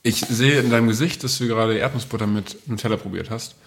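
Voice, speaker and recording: A man's voice: medium pitch, fast. A man hosting a casual food podcast, joking along in colloquial, playful banter. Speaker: podcast host.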